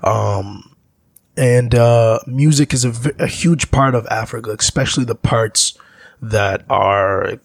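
A gravelly, slightly husky man from Zambia talks as a guest in an interview. He rambles through his memories in a reflective way, and his lowish voice sounds dry and close. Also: slow, dry recording. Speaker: musician guest. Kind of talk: casual interview talk.

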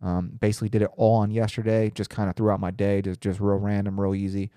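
A man's voice: on the low side, little pitch movement, fast, dark tone, clear, resonant tone, no echo, clean studio sound. A young man hosting his own podcast in casual, rambling, profane talk, a little congested and nasal from a cold. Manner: profane, rambling, laid-back. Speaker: podcast host.

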